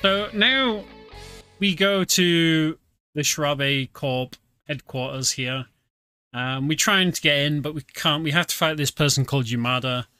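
A man's voice: a little high, unhurried, clear, resonant tone, dry recording. Chuckling man commenting over game music. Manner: relaxed, chuckling explanations. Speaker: streamer. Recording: game music and sounds behind.

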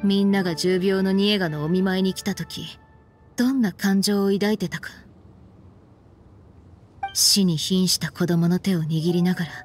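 An actress playing a stern, frank young woman in an anime game, speaking in a firm, reproachful, deliberate way. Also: lowish voice, steady tone, darkish tone, soft background music, natural voice. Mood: grave explaining. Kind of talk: anime game voice acting, dramatic dialogue.

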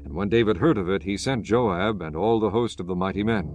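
A man narrating scripture from a script in a measured, solemn manner. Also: deep voice, a little mellow. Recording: music behind the voice.

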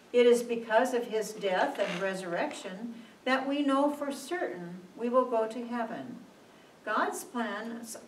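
A woman with a fairly high voice reads a devotional story slowly, phrase by measured phrase. She narrates as if for a church puppet show. The sound is roomy, picked up from a distance. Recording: echoey room from far off, noisy.

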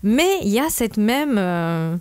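A woman co-hosting a podcast, speaking in halting bursts with repeated words. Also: mid-range voice, expressive, clean tone, no room sound. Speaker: podcast co-host. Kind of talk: casual podcast discussion.

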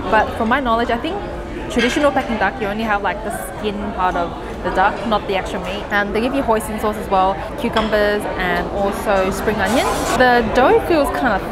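A woman's voice: mid-range voice, a little fast, loud music behind the voice, a loud crowd. A young woman giving frank, plain verdicts on buffet dishes, filmed at arm's length in a big restaurant. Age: young adult. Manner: frank, weighs each dish plainly. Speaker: food vlogger. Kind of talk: casual food review to camera. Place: large indoor buffet restaurant. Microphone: handheld camera at arm's length.

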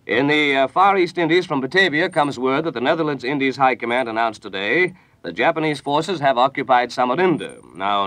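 Male American 1940s radio news anchor; formal, measured bulletin reading, mid-pitched, close dry studio sound. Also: a bit bright, no echo, rough recording.

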